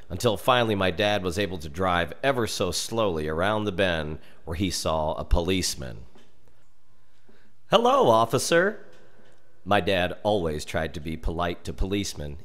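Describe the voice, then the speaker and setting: An American man reading a darkly humorous story aloud in an expressive, measured and dramatic storytelling manner. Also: low-pitched. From United States. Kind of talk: expressive scripted narration of a humorous dark tale.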